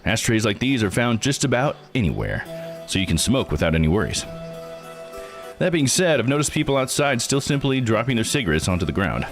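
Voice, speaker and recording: A man voicing a formal, analytical gentleman treasure hunter from a visual novel, with precise, measured phrasing. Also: mid-range voice, sing-song, faint music behind, pitched above the performer's own voice. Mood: observant. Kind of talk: reading visual novel dialogue aloud.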